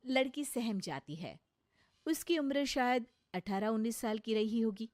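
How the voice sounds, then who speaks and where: A woman's voice: fairly high pitch, animated, unhurried, darkish tone, no room sound, studio quality. A woman reading scripted narration for a comic moral story as its storyteller.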